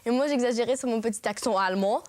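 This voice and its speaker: A woman's voice: a little high, fast, a little mellow, no echo. A teenage girl with a French accent, a student actress telling a rambling story with many self-corrections. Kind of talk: documentary interview.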